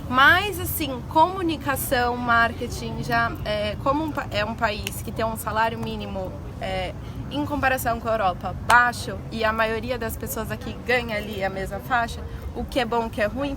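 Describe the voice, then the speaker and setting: A woman's voice: on the high side, normal speed. A young woman from Brazil with a Brazilian accent, chatting informally and with slang as an interview guest. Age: young adult. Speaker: interview guest, advertising professional. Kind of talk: casual conversational interview. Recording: noisy outdoor urban street ambience.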